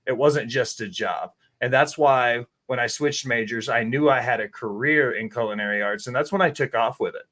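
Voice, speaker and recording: Guy in his 30s, rambling and candid, swears a lot, mid pitch. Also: normal speed, few pauses, fairly bright tone. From Tennessee. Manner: candid, rambling storyteller who swears freely. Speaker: guest, chef. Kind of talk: casual interview talk.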